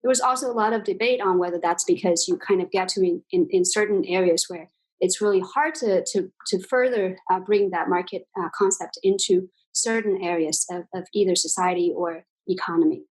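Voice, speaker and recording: A woman's voice: fairly low pitch, a little fast, breathy edge, rough recording. A woman in her forties from China, speaking English with a Chinese accent as an interview guest, thoughtful and full of fillers and restarts. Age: 40s. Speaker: guest, exchange CEO. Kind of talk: interview conversation.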